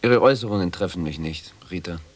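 Radio drama: cold, needling male client, very low voice, terse and haughty. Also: fast talker, slightly rough. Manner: haughty, terse, probing questions.

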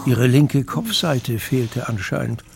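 A man's voice: medium pitch, animated, slow, gravelly. A man narrating a scripted, dramatic audiobook as a storyteller. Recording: music bed under narration.

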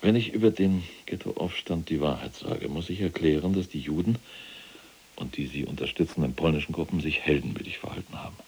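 A cold, self-serving SS general weighing a trial in a radio play. The voice is extremely deep, gravelly and husky. Sentences are formal and deliberate, full of calculation. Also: male voice, darkish tone, exaggerated voice. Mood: calculating. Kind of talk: radio drama dialogue.

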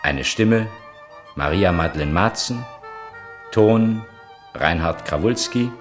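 A man's voice: low-pitched, animated, slow. A man reading scripted closing credits as a radio announcer, with violin music behind him.

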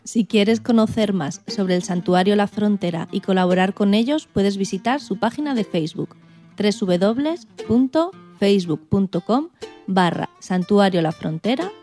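A woman's voice: medium pitch, animated, normal speed, dark tone, faint music behind. A woman from co-hosting a radio programme, reading scripted narration. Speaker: radio show co-host.

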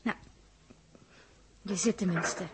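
A woman acting a tender, anxious young space crewmate in a 1960s radio drama, gentle, pleading and emotional. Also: medium pitch, steady tone, slow pace, lightly acted. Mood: caring. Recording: noisy old radio drama recording.

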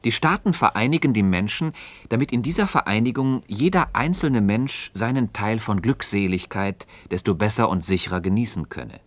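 An actor playing a thoughtful philosopher in a German radio play, questioning a friend in a measured, deliberate, didactic way. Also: male voice, on the low side, lively intonation, no room sound. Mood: earnest, assured. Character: thoughtful, probing male philosopher leading a friend through argument.

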